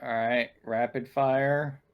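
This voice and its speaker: Halting man in casual game chat. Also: mid-range voice, steady tone, smooth, muffled recording. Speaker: tabletop game player.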